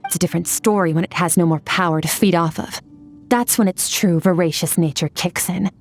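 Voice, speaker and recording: A cool, otherworldly swordswoman from a video game. Her voice is on the low side, and she speaks in a detached, condescending, matter-of-fact way over faint music. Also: fairly even intonation, fairly quick. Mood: detached, grave. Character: aloof, battle-hardened otherworldly swordswoman, cool and blunt.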